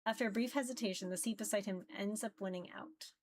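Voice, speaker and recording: A woman reading a visual novel aloud in an expressive first-person narration, occasionally stumbling over words. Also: medium pitch, quick pace, no room sound. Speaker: VTuber reading a game aloud. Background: music.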